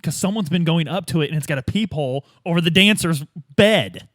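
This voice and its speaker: A man playing a tabletop roleplay, improvising a detective's lines in informal, expressive everyday talk. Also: a little high, fairly quick, no echo, clean studio sound. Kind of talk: casual in-character improvised chat as a detective.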